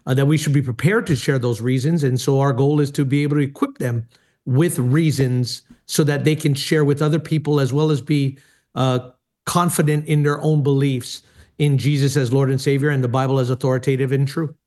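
A man's voice: mid-range voice, fairly even intonation, fairly quick, mellow. A middle-aged man from Hawaii, a former pastor, telling his story earnestly with frequent hesitations. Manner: earnest, many hesitations and fillers. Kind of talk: personal testimony in interview.

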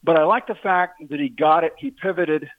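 A man's voice: on the high side, fairly quick, no room sound. Man talking over a tinny phone line. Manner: talkative, uses sports analogies. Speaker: guest, political show host. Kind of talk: phone-in political discussion.

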